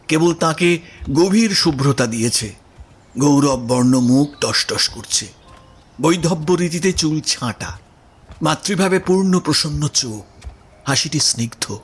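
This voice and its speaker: A man's voice: a little high, lively intonation, measured pace, breathy edge. A man reading literary prose as the narrator of a Bengali audio story, in a scripted storytelling style.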